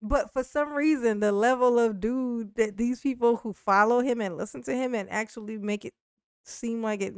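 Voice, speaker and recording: Gravelly-voiced blunt woman in her forties. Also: fairly high pitch, moderate pace, dry recording, clean studio sound. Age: 40s. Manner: blunt, profane, laughs often, many restarts. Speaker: streamer. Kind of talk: casual live-stream chat.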